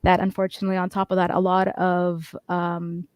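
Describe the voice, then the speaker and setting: A woman's voice: lowish voice, monotone, normal speed, darkish tone, clean tone, dry recording, studio quality. A woman guest from Canada explaining a point in detail on a panel, with frequent restarts. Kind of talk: panel discussion.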